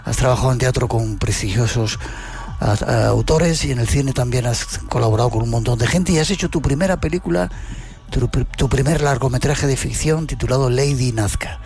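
A man's voice: mid-range voice, moderate pace, darkish tone, soft background music. A man hosting a programme and interviewing a guest. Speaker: radio or TV programme host, interviewer.

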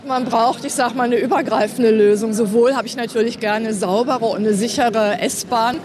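Passenger weighing both sides, busy crowd around, mid pitch. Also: steady tone, moderate pace. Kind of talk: street vox pop.

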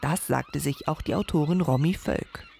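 A woman reporter reading a scripted radio feature in a lively, measured way. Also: deep voice, vocal fry, a little hiss or hum.

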